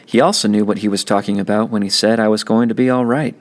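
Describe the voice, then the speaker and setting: A man's voice: fairly low pitch, fairly even intonation, fast. A man reading a children's novel aloud as scripted audiobook narration. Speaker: audiobook reader.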